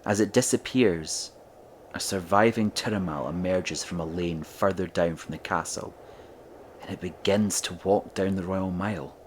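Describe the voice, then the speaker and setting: A man reading scripted narration for an audio drama, describing the action in short measured sentences. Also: low voice, slight background noise.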